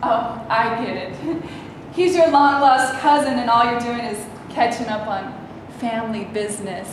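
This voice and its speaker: A woman's voice: fairly high pitch, fairly even intonation, measured pace, close to an everyday voice. A woman playing a haughty, tactless bit-part actress in a staged play reading, snide and brash. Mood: snide, taunting. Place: indoor room, live staged reading. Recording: distant mic, echoey noisy room.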